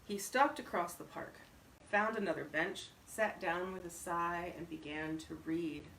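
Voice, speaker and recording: A woman narrates a written story in an expressive way. Her voice sits on the low side. The recording is roomy and echoey, with the microphone some distance away. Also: a little slow, gravelly, slight background noise. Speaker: story reader. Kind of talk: scripted narration of a short story.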